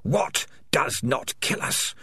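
A man's voice: slow pace, bright, forceful tone, no echo, clean studio sound. A man voicing a sinister, boastful disembodied creature that speaks inside another's head in a storybook. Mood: menacing.

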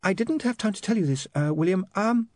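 A man's voice: high-pitched, animated, a little fast, a little mellow, no echo, studio quality, voice pushed up. A man voicing a nervous, well-bred young woman who hesitates and stumbles over her words. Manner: nervous, hesitant, full of ums.

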